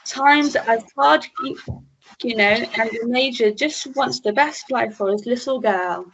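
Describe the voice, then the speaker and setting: A woman playing a level-headed police sergeant in a radio melodrama, deliberate and calming, with halting delivery. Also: fairly high pitch, gravelly, a little hiss or hum. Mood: grave. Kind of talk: melodrama radio play acting. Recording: dull lo-fi recording.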